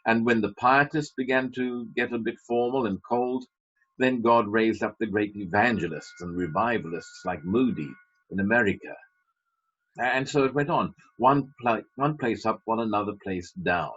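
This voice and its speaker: A man's voice: medium pitch, normal speed, breathy edge. A man preaching a sermon as a pastor, emphatic, with wry asides. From Britain. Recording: dull lo-fi sermon recording.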